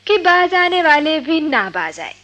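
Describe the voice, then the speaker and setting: A woman's voice: high-pitched, very animated, a little slow, pushed and bright, heavily acted. A woman acting a playful, witty young friend in a radio drama, with a teasing, theatrical, poetic way of talking. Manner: teasing, verse-quoting, theatrical.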